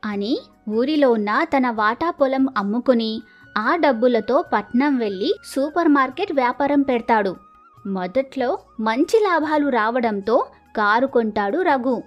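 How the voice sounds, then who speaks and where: A woman's voice: high voice, dull audio. A woman narrating a scripted village moral story as storyteller.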